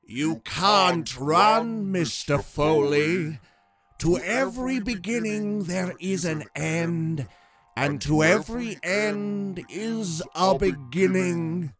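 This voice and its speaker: A man voicing a commanding, otherworldly male entity in a storybook reading, speaking slowly with drawn-out words. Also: high voice, pitched above the performer's own voice. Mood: ominous. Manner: slow, drawn-out, commanding delivery. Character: booming otherworldly male entity posing as a friend.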